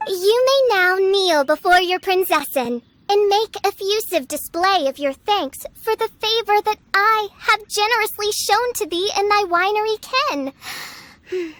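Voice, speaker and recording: A woman's voice: extremely high pitch, fairly bright tone, no echo, exaggerated voice. A woman voicing a theatrical teenage girl who poses as a haughty princess in a video game, declaiming grandly in archaic words. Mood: imperious. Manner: pompous, grandiose, archaic wording. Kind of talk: video game character acting.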